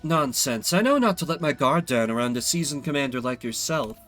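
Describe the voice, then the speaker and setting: A man voicing a gracious, nostalgic royal ruler in a video game, polished and warmly formal. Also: medium pitch, lively intonation, fairly quick, faint music behind, lightly acted. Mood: fond, nostalgic.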